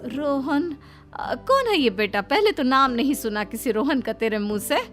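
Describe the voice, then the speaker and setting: A fond, humble housemaid mother of middle age in a cartoon family tale, acted out by a woman. Her voice sits high and she talks in a homely, exclamatory, affectionate way. Music plays underneath. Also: expressive, normal speed. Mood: curious, teasing. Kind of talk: Hindi moral-story cartoon dialogue.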